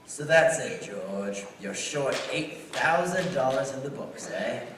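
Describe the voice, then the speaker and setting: A man's voice: mid-range voice, lively intonation, slow, noisy background. A man playing a gloating, cold-hearted older banker villain in a live radio play, taunting and sneering. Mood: cold, probing. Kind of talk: live 1940s-style radio play acting. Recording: distant echoey theater pickup, loud music.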